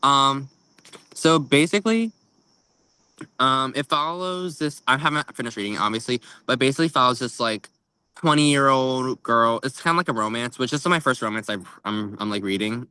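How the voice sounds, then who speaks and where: Bright-voiced man chatting casually about books. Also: medium pitch, moderate pace, clear, resonant tone, no room sound, low-quality recording. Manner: informal, tentative phrasing with frequent "like". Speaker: co-host of a book podcast.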